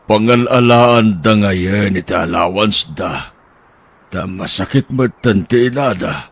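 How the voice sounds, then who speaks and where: A man's voice: fairly low pitch, takes it slowly, husky, heavily acted. Man playing an earnest, reassuring husband. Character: dutiful middle-aged husband and son, earnest.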